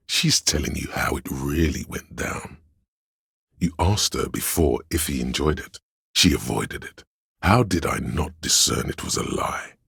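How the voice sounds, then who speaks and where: A theatrical inner voice for a video game, grandiose and scheming like a courtier. The register is extremely deep, with heavy gravel and a husky rasp. The delivery is dramatic, declamatory and archaic. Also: male voice, a bit bright, no echo, clean studio sound, full character voice. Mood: suspicious, stern. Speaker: voice actor.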